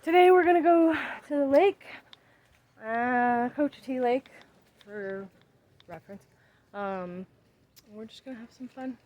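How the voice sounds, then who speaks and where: A woman's voice: fairly high pitch, measured pace, long pauses, muffled recording. A woman in her thirties chatting casually in a vlog, rambling and emphatic as she works outdoors in her backyard. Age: 30s. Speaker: vlogger.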